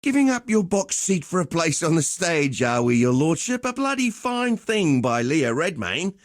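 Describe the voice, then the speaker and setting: A man's voice: fairly high pitch, sing-song, normal speed, no room sound, studio quality. A man playing a swaggering, cheerful arena fight promoter in a video game, with theatrical, chummy, slangy patter. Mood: swaggering welcome. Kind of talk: video game character voice lines.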